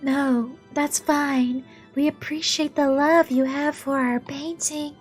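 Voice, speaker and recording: A gentle visual novel nun, put on by a woman. The voice is high and unhurried, with a soothing, kindly manner, over faint music. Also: steady tone. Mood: gentle, reassuring. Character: gentle, soft-spoken nun comforting a troubled worshipper.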